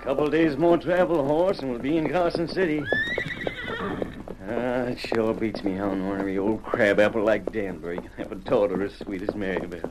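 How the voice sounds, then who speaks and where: A man's voice: on the low side, normal speed, lightly acted. A man acting a plainspoken, proud cowboy in a radio western, with folksy slang. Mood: easygoing, wry. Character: easygoing, plainspoken cowboy, slow to fight but proud. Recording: old radio recording with faint hiss.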